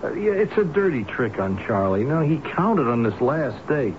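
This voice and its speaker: A man playing a soft-hearted, flustered building worker in a radio drama, hesitant and stammering in colloquial speech. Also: mid-range voice, very animated, normal speed, close to an everyday voice. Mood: pleading. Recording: noisy, muffled old radio broadcast recording.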